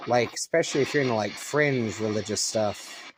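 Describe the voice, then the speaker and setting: A man's voice: on the low side, moderate pace. An American man from Colorado giving casual, off-the-cuff commentary as a hobbyist, musing to himself in an easygoing way. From Colorado, United States. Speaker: hobbyist shortwave radio vlogger. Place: upstairs bonus room at home. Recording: home recording with clear background noise. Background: shortwave radio static and stations.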